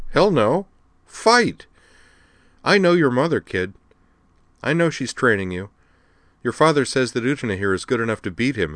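A man voicing a brash, boisterous woman fleet admiral who shouts and jokes. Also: medium pitch, dry recording, voice pushed up.

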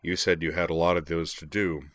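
Man voicing a large affable young student, rumbling, very deep, easygoing. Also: flat delivery, fairly quick, a bit bright, no room sound. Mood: affable, concerned. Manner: low rumbling, easygoing, friendly.

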